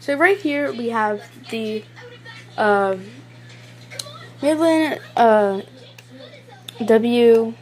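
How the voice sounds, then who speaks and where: A woman vlogger doing a casual show-and-tell in a halting, informal way, on an amateur recording. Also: a little high, animated, darkish tone, a little hiss or hum. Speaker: vlogger showing a weather radio collection.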